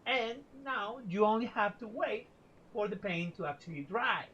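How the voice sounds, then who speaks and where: A woman who is a non-native English speaker and a game developer, pitching her game in a halting, deadpan way with restarts. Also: husky, dull audio. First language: not English. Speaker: game developer in a promo video. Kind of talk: scripted product pitch.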